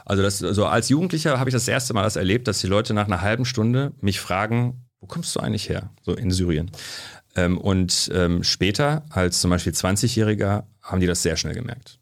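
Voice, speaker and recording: A man from Germany, a sociologist guest, telling stories in a relaxed studio interview, with lots of fillers. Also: fairly low pitch, brisk. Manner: chatty storyteller, frequent fillers.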